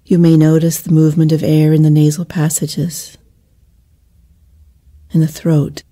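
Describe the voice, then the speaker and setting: Female meditation guide, deeply mellow and relaxed timbre, soothing and measured, leaving gentle pauses.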